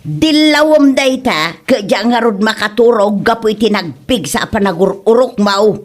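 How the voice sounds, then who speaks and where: A woman's voice: mid-range voice, animated, a little slow. A woman playing a nagging, quarrelsome middle-aged mother and wife in a comedy drama, scolding in an Ilocano accent. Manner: scolding, emotive. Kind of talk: radio-style comedy drama acting.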